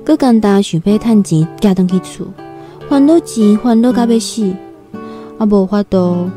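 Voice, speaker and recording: Timid young country girl, mellow voice, played by an actress. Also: medium pitch, a little slow, faint music behind, natural voice. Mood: earnest, humble. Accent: Taiwanese. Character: timid nineteen-year-old country girl new in Taipei. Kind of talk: Taiwanese radio drama, first-person storytelling and dialogue.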